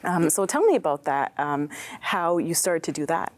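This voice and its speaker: A woman hosting an interview, asking questions attentively and backing the guest with soft affirmations. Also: low voice, very animated, moderate pace, dry recording. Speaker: show host.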